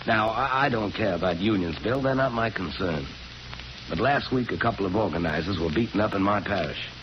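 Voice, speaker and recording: An actor plays a grave, earnest priest in a vintage radio drama. His low voice is direct and insistent, behind heavy broadcast noise. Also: fairly quick, close to an everyday voice. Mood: firm, insistent. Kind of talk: 1940s radio drama acting. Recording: muffled, very noisy 1940s radio broadcast.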